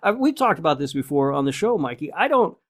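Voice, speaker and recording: A man's voice: fairly high pitch, big pitch swings, quick pace. A middle-aged man co-hosting a podcast, chatting casually. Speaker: podcast co-host. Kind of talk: casual chat.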